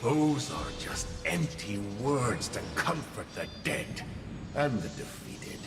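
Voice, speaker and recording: An actor voicing a menacing old swordmaster in a video game, theatrical and taunting, with swells into force. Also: male voice, mid-range voice, very animated, takes it slowly. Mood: goading, fierce. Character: menacing old male swordmaster goading his young pupil. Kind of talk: dramatic video game voice acting. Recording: loud music under the voice.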